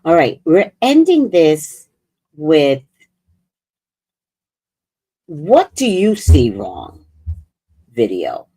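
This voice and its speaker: A woman's voice: low voice, wildly swooping pitch, dark tone, breathy edge, rough recording. A woman vlogger giving blunt, profane commentary as she reacts to clips. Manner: outspoken, profane, drawn-out emphatic delivery. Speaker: vlogger host.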